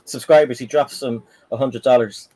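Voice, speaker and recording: A man with an Irish accent hosting a casual live-stream panel chat, telling animated stories. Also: medium pitch, moderate pace. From Ireland. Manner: animated, chatty storyteller.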